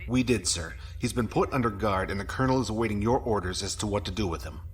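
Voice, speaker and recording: An actor playing a formal, deferential military aide giving reports in a science fiction audio drama. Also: male voice, on the low side, quick pace, close to an everyday voice. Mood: dutiful.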